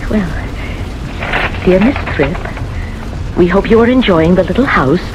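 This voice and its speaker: An actress playing a lonely, gentle, nervous old lady in a radio drama, with a hesitant, fluttery delivery. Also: mid-range voice, expressive, slow pace, over music, rough recording. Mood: flustered, wondering. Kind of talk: 1970s radio drama acting.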